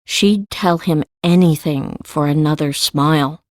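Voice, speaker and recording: A woman's voice: low voice, measured pace, a little mellow, no echo, studio quality. A woman reading scripted story narration as the narrator of an audiobook.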